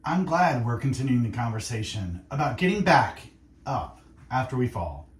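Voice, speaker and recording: A man hosting faith-based radio, speaking in an earnest, reflective monologue of encouragement with short, deliberate phrases. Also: medium pitch, sing-song, reverberant. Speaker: radio host. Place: radio studio.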